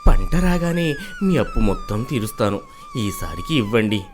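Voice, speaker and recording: Poor anxious farmer acted by a man for a story video: humble, weary, pleading, with wide pitch swings. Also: wildly swooping pitch, moderate pace, soft background music. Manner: pleading, sorrowful.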